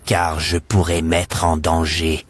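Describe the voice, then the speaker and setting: A man playing a cautious, reluctant kinsman with a grave, deliberate manner in an animated Bible story. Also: extremely low pitch, heavily acted. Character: reluctant, cautious male kinsman guarding his estate.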